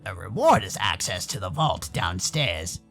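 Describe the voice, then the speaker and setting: Man doing a low, gravelly furry guy. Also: sing-song, measured pace, fairly bright tone, soft background music, exaggerated voice. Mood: explaining. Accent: American. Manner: hesitant, explanatory. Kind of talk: visual novel character voice.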